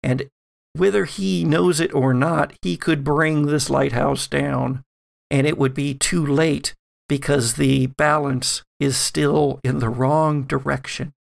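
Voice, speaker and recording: A man playing a solemn, weary old grandmother who speaks gravely and haltingly in a tabletop roleplaying game. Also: a little high, steady tone, heavily acted. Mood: grave, urgent. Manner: slow, grave, halting delivery.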